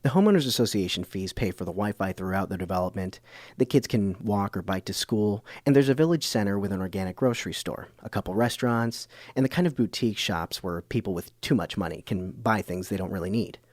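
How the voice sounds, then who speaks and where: An actor playing an earnest, somewhat puzzled public radio host, narrating and interviewing in an audio comedy. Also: male voice, on the low side, a little fast, darkish tone, no echo, clean studio sound, lightly acted. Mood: measured, wry.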